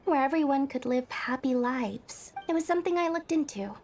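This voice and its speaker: A woman's voice: high voice, lively intonation, moderate pace, darkish tone. An actress voicing a small, brash, self-important merchant girl in a fantasy game, boastful, feisty and theatrical. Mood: earnest.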